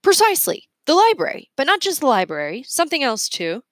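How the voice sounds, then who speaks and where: A woman's voice: high voice, wildly swooping pitch, fairly quick, dry recording, clean studio sound, voice pushed up. A woman voicing an eccentric, forgetful male inventor in a children's storybook, whimsical and emphatic. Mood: grand, excited.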